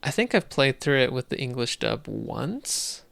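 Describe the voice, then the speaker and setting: A man's voice: medium pitch, sing-song, a little slow, creaky, fairly bright tone, no room sound. A man co-hosting a podcast in casual chat, thinking aloud as he talks. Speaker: podcast co-host.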